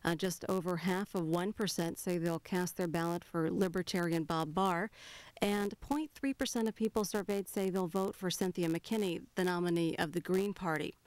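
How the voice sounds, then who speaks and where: A woman's voice: lowish voice, normal speed, a little mellow, dry recording, rough recording. An American woman presenting live news and taking viewer calls as host. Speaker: TV call-in show host.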